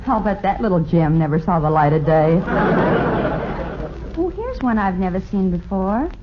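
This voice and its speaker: A woman's voice: on the low side, animated, moderate pace, over a noisy crowd, lightly acted. An actress playing a practical, level-headed woman in a 1950s radio comedy, with dry, mock-earnest delivery. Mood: musing. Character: level-headed female companion and mock-earnest storyteller nurse. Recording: muffled, noisy 1950s radio recording.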